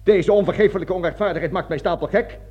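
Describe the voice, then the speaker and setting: A dull, lo-fi old radio drama recording of a cowardly army colonel. His voice sits fairly high and a little rough as he blusters, stammers and pleads, forever repeating and justifying himself. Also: fast talker. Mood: flustered pleading. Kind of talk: theatrical radio drama acting.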